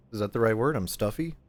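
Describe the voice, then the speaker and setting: Stuffy, low-voiced male streamer. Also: normal speed, no room sound. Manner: rambling, easily sidetracked, clears throat often. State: stuffed up, short of breath. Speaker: game streamer. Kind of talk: casual gameplay chat.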